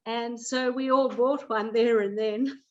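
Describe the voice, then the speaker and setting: A middle-aged woman with a fairly high voice chats as a guest. Her storytelling is casual and jovial, over slightly dull, lo-fi call audio. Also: steady tone, takes it slowly, fairly bright tone. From Zambia, later Australia. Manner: jovial, often chuckles. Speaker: guest, cooking coach. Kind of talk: casual chat, personal storytelling.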